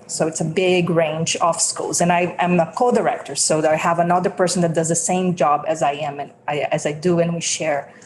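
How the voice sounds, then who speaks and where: A woman's voice: fairly low pitch, fairly even intonation, a little fast, few pauses, slight background noise, rough recording. A woman from Brazil with a Brazilian accent, testifying earnestly as a school food service director at a committee hearing, with many ums and restarts.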